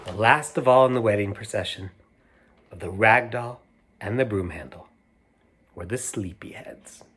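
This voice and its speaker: A man reading a picture book aloud to children, stopping to talk about the pictures and ask his young listeners questions in a playful, unhurried way. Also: on the low side, a bit bright, husky. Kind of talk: read-aloud storytime with asides about the pictures.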